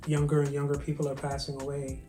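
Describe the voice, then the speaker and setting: Barbadian man, flat-toned guest chef on a video call, roomy room with clear music behind. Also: fairly high pitch, quick pace. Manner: soft-spoken, hesitant, many ums and restarts. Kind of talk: virtual interview, casual storytelling.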